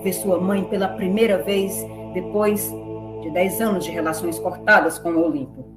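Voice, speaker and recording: A woman's voice: on the low side, fairly even intonation, moderate pace, reverberant. A woman narrating a scripted dramatic story as the narrator of an audio drama. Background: music.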